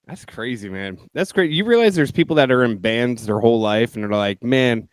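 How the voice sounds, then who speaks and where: A male podcast host asks roundabout questions, piling up "like" and "um" before reaching the point. His pitch is middling and the sound is dry and close to the mic. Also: expressive, normal speed, smooth, no echo. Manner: loose, wandering questions with fillers. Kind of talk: casual podcast chat.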